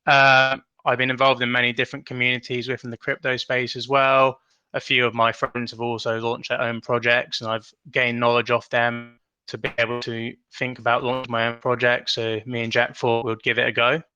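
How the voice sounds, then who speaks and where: A man's voice: medium pitch, monotone, normal speed, sharp tone, clear, resonant tone, no echo, lo-fi sound. A man from the UK with a British accent, a project co-founder speaking as a guest in a plain, matter-of-fact way. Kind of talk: casual interview talk.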